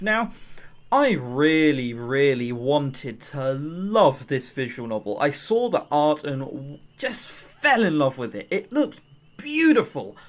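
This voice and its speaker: An informal video reviewer with a very lively, swooping delivery. The talk is blunt and opinionated, with swearing and comic overstatement, and runs like partly scripted commentary. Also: mid-range voice. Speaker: visual novel reviewer and channel host.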